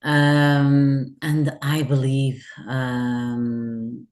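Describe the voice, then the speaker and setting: Middle-aged woman, Portuguese accent, low pitch; measured guest answering questions, searching for words. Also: little pitch movement, darkish tone. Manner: thoughtful, restarts phrases, searches for words. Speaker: guest, head of customer services. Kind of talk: remote interview.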